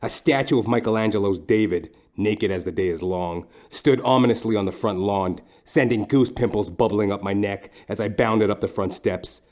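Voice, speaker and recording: A man playing a wry, lecherous private detective, narrating a noir parody audio play in a florid, deliberate deadpan. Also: lowish voice, natural voice.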